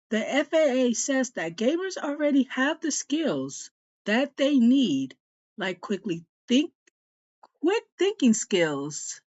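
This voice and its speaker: A woman with a fairly high, lively voice reads out a news item at an unhurried pace, stumbling slightly. The sound is dry and a little dull. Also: dry recording. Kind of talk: reading a news report aloud, slightly halting.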